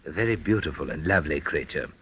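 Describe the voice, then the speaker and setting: A low, husky smooth-talking blackmailer posing as a mind reader. He is shifty and oily, in the manner of old radio mystery acting. The recording is dull and lo-fi. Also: animated, quick pace, exaggerated voice. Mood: smooth, guarded. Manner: formal, oily phrasing that slips into slang. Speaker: radio drama actor. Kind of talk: old-time radio detective drama acting.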